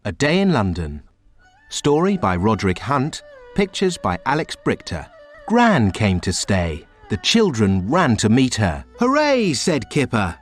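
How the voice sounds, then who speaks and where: A man reading a children's storybook aloud in a slow, very expressive storytelling way. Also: lowish voice, faint music behind. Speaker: storybook reader. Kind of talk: children's read-aloud narration.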